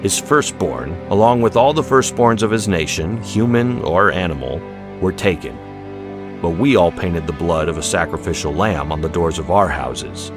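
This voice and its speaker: Audiobook role: male narrator as a grave, compassionate spiritual teacher, deep-voiced, measured, with music underneath. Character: solemn, compassionate male religious leader at a holiday feast. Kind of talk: dramatic audiobook character voice. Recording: music behind the voice.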